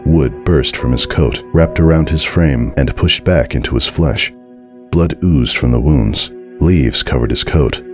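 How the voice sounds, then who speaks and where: A man giving a dramatic, scripted narration of a horror story in a measured, deliberate and suspenseful way. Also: extremely low pitch, faint music behind.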